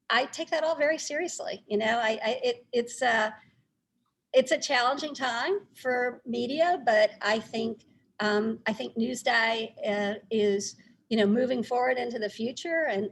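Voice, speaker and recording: A woman's voice: fairly high pitch, a bit bright, a little hiss or hum. Middle-aged woman with a Texas accent. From Texas, United States. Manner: halting, conversational. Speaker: newspaper publisher, guest speaker. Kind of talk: informal talk recounting a career.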